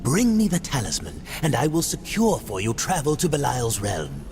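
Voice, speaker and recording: An actor playing a cunning, greedy demon merchant in a video game, with an oily, theatrical, drawn-out delivery. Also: male voice, mid-range voice, wildly swooping pitch, gravelly, a little mellow, a little hiss or hum. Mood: scheming. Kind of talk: dramatic video game cutscene acting.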